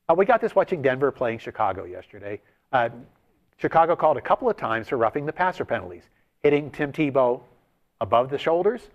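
A man's voice: mid-range voice, dull audio. A man co-hosting a sports betting show, explaining his analysis step by step. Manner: explanatory, builds an argument step by step.

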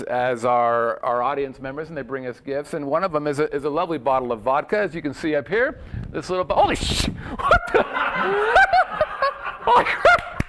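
A man's voice: a little high, a bit bright. A man hosting a live podcast with jokey, exclaiming banter and some background noise. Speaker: podcast host.

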